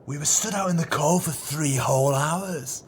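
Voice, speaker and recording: A man's voice: on the high side, normal speed, lightly acted. Man playing arrogant crooked businessman, cold-blooded, self-satisfied, audio drama, faint background noise. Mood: dismissive. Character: callous, smug swindler and murderer, businessman.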